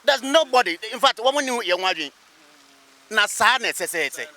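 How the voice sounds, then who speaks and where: A man's voice: sits high, lively intonation, moderate pace, bright, forceful tone. A man with a Ghanaian accent answering a street interview emphatically, repeating his phrases. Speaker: interviewed local motorist or resident. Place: outdoors by a busy road.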